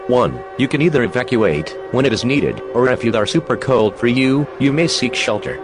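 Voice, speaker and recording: A man's voice: medium pitch, lively intonation, normal speed, music in the background, close to an everyday voice. A man playing an official emergency alert announcer, reading an urgent scripted broadcast. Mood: stern warning.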